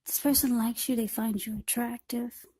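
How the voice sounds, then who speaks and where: A woman tarot reader with a husky, breathy voice, speaking informally to the viewer in short, unscripted phrases. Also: a little high, fairly even intonation, moderate pace, dark tone.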